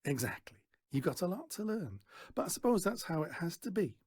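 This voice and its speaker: A man's voice: high voice, big pitch swings, brisk, a little mellow, slightly rough, no echo, studio quality, voice pushed up, exaggerated voice. A man voicing a folksy, patronising fairground stallholder who mentors a boy in a storybook reading. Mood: exasperated. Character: brisk, exasperated older fairground stallholder mentoring a lad.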